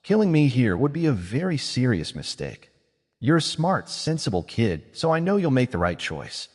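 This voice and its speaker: A man's voice: medium pitch, very animated, moderate pace, darkish tone, long reverb tail, voice pushed up. A vain teasing witch, as a man puts her on. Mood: smug. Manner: playful, mocking, self-satisfied. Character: vain, teasing witch woman, newly young again.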